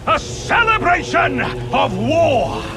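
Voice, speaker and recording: An actor playing a grandiose game herald, proclaiming theatrically, in a game clip with music behind. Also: male voice, extremely high pitch, very animated, slow, gravelly, heavy background noise, heavily acted. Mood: exultant.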